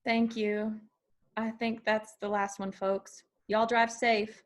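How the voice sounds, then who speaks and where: Woman acting a young country singer, unhurried, higher-voiced. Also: little pitch movement. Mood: polite. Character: young woman country singer, guarded, weary, playfully teasing. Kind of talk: screenplay table read.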